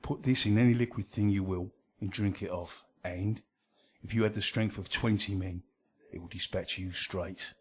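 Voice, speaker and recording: Impoverished apothecary, male actor, classical radio play: lowish, grave voice, wary and reluctant. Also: steady tone, normal speed, natural voice. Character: poor, wary apothecary reluctantly selling poison. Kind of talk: Shakespearean radio drama acting.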